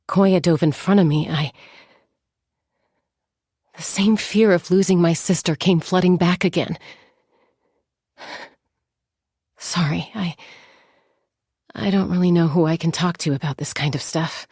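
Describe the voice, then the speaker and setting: Casting: young female game gunslinger, lowish husky voice, self-assured, wryly playful, dry close studio sound over faint music. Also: dry recording, heavily acted. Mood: earnest, subdued. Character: confident young woman gunslinger peacemaker, composed, wryly playful. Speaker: video game voice actor. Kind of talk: scripted game character voice lines.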